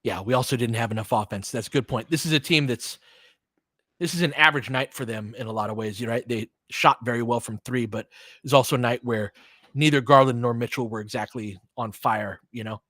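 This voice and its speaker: A man's voice: mid-range voice, a little fast, bright, forceful tone, no room sound. Man calling basketball, dry close sound. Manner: casual, slangy, partisan. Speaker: play-by-play host of a fan stream. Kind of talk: live basketball play-by-play and fan commentary.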